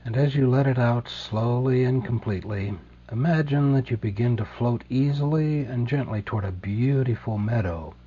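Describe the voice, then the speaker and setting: A man leading a guided meditation in a slow, soothing, gentle way, leaving deliberate pauses between phrases. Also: mid-range voice, dull audio, a little hiss or hum.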